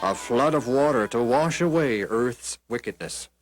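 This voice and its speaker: Man voicing a solemn, commanding God, slow and measured. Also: mid-range voice, animated, natural voice. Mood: solemn warning. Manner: grave, declaring instructions in measured phrases. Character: God, a solemn male commanding figure in a Bible storybook. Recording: music behind the voice.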